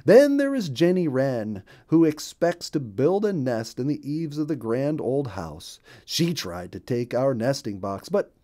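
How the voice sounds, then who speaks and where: A man's voice: medium pitch, very animated, measured pace, hardly any pauses, mellow, pitched above the performer's own voice. A man voicing a friendly, cheerful male bluebird in a children's storybook, chatty and singsong, repeating words for emphasis.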